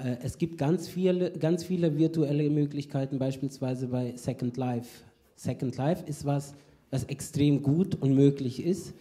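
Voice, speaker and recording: A man's voice: mid-range voice, warm tone. A man in the audience of a conference, asking a long, rambling question and correcting himself as he goes. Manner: rambling, self-correcting, switching languages. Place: conference hall.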